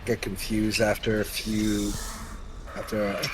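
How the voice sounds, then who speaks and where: A man's voice: on the low side, monotone, a little slow, background noise. A man playing in a tabletop roleplaying game, chatting casually in character. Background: background music.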